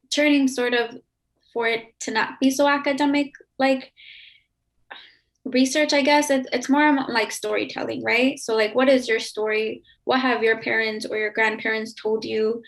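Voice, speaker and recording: A woman's voice: on the high side, a bit bright. An American woman from Chicago speaking as a guest in a reflective interview, explaining her points and often checking in with "right?". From Chicago, United States.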